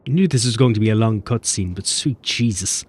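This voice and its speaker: A man's voice: on the low side, lively intonation, brisk, husky. A man streaming a video game, making offhand, profane jokes about what's on screen. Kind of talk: casual live-stream commentary. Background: game music.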